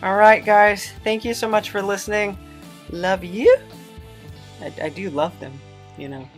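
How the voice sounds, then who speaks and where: Female podcast host: medium pitch, informal, chatty, somewhat hesitant, faint music underneath. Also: measured pace. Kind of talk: casual chat to listeners.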